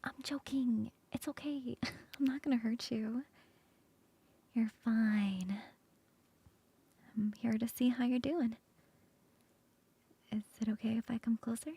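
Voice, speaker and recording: A woman's voice: a little high, steady tone, slow pace, pauses often, breathy edge, exaggerated voice. A woman playing a teasing whispering coworker. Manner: soft whispering, playful teasing. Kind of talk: ASMR roleplay.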